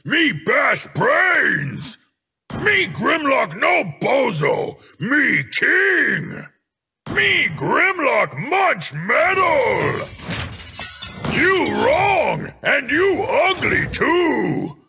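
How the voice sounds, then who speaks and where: A man's voice: extremely high pitch, huge pitch swings, extremely slow, bright, forceful tone, shouting, slight background noise, full character voice. A man voicing a brash, dim, boastful robot dinosaur for a video game, growling bluntly in caveman-like broken grammar. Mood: boastful, combative. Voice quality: gravelly, growling. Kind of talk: video game character lines.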